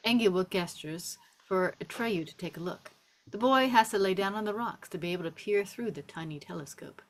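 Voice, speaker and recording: A woman's voice: medium pitch, brisk, rough recording. A woman narrator reading scene descriptions and stage directions aloud at a script table read.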